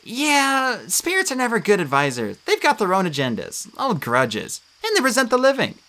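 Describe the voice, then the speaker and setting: A woman voicing a brisk, determined teenage girl demigod who speaks decisively. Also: mid-range voice, huge pitch swings, a bit bright, voice pushed up.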